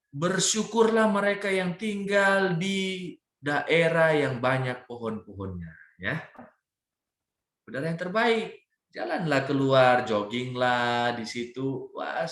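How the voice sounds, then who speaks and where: A man with a Manado accent speaks in an echoing room, giving faith-based health advice like a preaching doctor. His delivery swings widely in pitch and is emphatic, with drawn-out key phrases. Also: fairly bright tone. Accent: Manado Indonesian. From Manado, North Sulawesi, Indonesia. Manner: emphatic, slows and pauses on key phrases, repeatedly checks in with "ya". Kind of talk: religious health talk, preaching. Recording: roomy, echoing sound.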